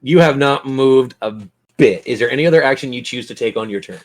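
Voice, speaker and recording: A man's voice: medium pitch, a little fast. A man acting as game master, narrating and bantering in a theatrical, courteous way.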